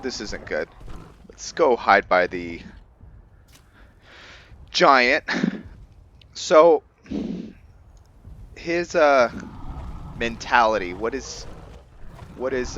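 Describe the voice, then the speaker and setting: A man giving casual let's play commentary as host of a roleplay series, thinking aloud in a halting way. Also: mid-range voice, fairly bright tone.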